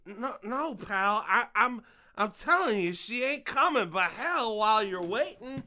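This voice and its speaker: A man's voice: high-pitched, lively intonation, pushed and bright, slight background noise, full character voice. An actor playing a folksy father in an audio drama, who acts like a jovial barfly to confront a predator and can turn menacing. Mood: insistent, folksy. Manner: folksy, drawling, mock-friendly, then slow and threatening.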